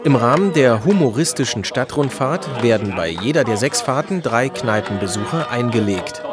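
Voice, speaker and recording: A man's voice: mid-range voice, lively intonation, normal speed, music in the background. A man reading scripted promotional narration for a television report. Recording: voice-over with crowd sounds behind.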